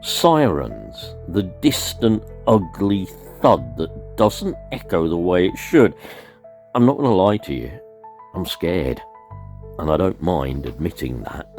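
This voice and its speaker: A man's voice: low voice, very animated, slow pace, a little mellow, soft background music, heavily acted. A man playing a frightened but comforting broadcast host in a radio play, speaking with earnest urgency. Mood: frightened, grave. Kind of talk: dramatic live emergency broadcast in a radio play.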